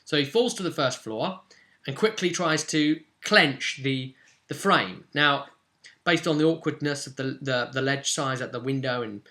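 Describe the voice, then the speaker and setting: A man's voice: mid-range voice, measured pace, sharp tone. A man casually recounting a tabletop game session as game master, in a rambling, explanatory way with emphatic stresses. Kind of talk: casual unscripted game recap storytelling.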